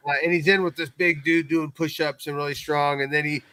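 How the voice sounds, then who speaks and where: Bright, sharp-voiced man chatting casually. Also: fairly high pitch, level tone, brisk, clear, resonant tone. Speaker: guest co-commentator on a recap show. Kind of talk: casual chat, story recap.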